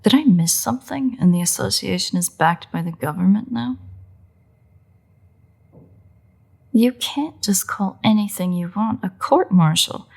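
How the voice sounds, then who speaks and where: A woman playing a playful, sardonic, bloodthirsty but secretly caring vampire in a roleplay audio drama, with wry, husky, often breathy delivery. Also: lowish voice, darkish tone, full character voice. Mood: incredulous, mocking. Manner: wry, teasing, unhurried delivery with pauses.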